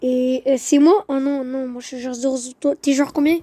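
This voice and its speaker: A woman giving casual, excitable gaming commentary, full of slang and repeated phrases. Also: sits high, a little fast, no echo. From Quebec, Canada. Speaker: gaming video host.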